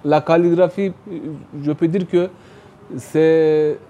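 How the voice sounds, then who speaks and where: Slow-speaking man with a Turkish accent. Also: on the high side, fairly even intonation, highs cut off. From Turkey. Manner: slow, many pauses and fillers, soft laughs. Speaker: guest, writer and calligrapher. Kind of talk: hesitant interview answers.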